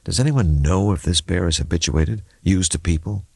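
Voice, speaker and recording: A man voicing a grieving but controlled male doctor in an audiobook, restrained and deliberate. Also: low-pitched, vocal fry, dark tone, breathy edge, no echo, studio quality, exaggerated voice. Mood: grave, controlled. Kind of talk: audiobook character acting.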